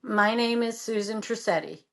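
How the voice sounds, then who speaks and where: A woman co-hosting a podcast who works as a real estate agent; she reads the intro and the guest welcome in a steady manner. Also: fairly high pitch, moderate pace.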